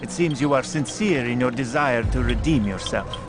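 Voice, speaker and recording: An actor playing a shrewd, courteous informant who briefs in a knowing, articulate, wry manner. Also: male voice, mid-range voice, normal speed, music in the background, close to an everyday voice. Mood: wry briefing. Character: shrewd, dryly courteous male informant to assassins.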